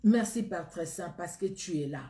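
Woman, Congolese accent, middle-aged preacher, earnest repeating delivery, mellow tone, echoey room. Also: on the low side, animated, normal speed. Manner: earnest, repetitive, rising fervor in prayer. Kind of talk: sermon and spoken prayer. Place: indoor room against a plain wall.

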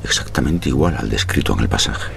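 An actor playing a grave, rational gentleman narrating a horror audio drama in a measured, literary way. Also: rumbling low, lively intonation, creaky, heavily acted. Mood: grave, uneasy. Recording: noisy storm ambience behind.

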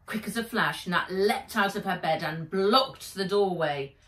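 A middle-aged woman author reading her children's story aloud, deliberate and dramatic, recorded in a room at home. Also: mid-range voice, fairly bright tone, distant mic. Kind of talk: expressive children's story narration. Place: indoors, living room or garden room at home. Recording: roomy, echoing home recording.

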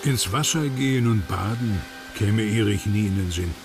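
A man reading scripted documentary narration in a lively voice over music. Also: lowish voice, moderate pace, background noise.

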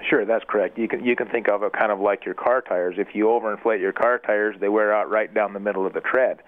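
A male expert guest speaking in a measured, methodical way, explaining the details of his work. His voice is somewhat low and reaches the show over a thin, tinny phone line. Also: steady tone. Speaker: equine dentist guest. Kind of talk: explanatory radio interview.

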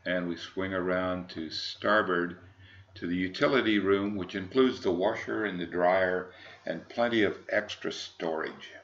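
An American man, middle-aged or older, giving an unscripted sales walkthrough in a halting, measured, formal manner. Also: deep voice, fairly even intonation, a bit bright, muffled recording. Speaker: yacht broker presenting a boat.

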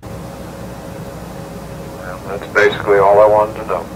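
Very deep-voiced, terse man on taped call. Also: completely flat delivery, moderate pace. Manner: terse, blunt. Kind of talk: private phone conversation. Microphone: telephone. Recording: archive taped phone call, loud rumbling noise.

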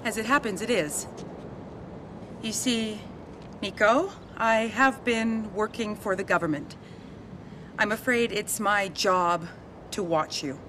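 A composed young American woman, an undercover agent with a coolly apologetic manner. Her pitch is average for a woman, and faint noise sits behind her voice. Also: takes it slowly, pauses often, fairly bright tone. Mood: firm, regretful. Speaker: video game voice actor. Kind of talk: video game cutscene acting.

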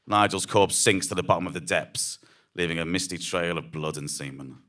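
A man with a fairly low voice narrates a story on a small theatre stage. He delivers scripted, dramatic prose in a measured, deadpan way.

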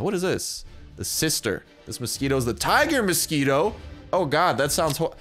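A young man giving casual, excitable commentary over his own gameplay, jumping into high yelps when the battle turns. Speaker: gaming YouTuber.

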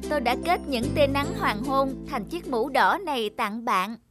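A woman voicing a kind, generous Sun in a cartoon fairy tale, gentle and reassuring. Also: sits high, unhurried, music in the background. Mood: kindly.

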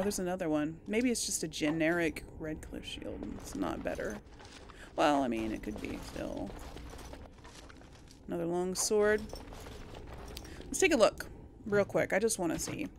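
A woman's voice: on the low side, expressive, moderate pace, gravelly, long pauses, noisy background. A woman giving casual let's play commentary, chatting and thinking aloud to her viewers. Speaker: gaming video host.

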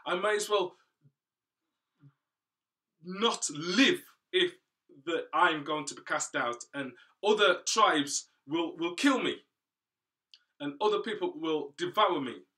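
A man voicing Cain, a distraught young man, with high strained wailing pleas. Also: slow, pauses often, bright, forceful tone. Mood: desperate. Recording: voice from a distance.

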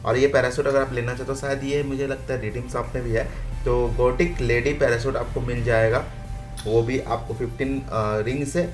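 A man's voice: mid-range voice, level tone, moderate pace, faint music behind. A man casually walking viewers through game events step by step in an instructional way. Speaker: gaming video host. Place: voice-over with music behind.

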